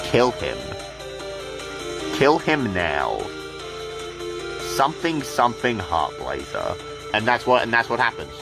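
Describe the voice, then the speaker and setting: A man's voice: mid-range voice, sing-song, slow pace, creaky, long pauses, music in the background. A man giving unscripted, rambling gameplay commentary in an irreverent, sweary way. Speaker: video creator.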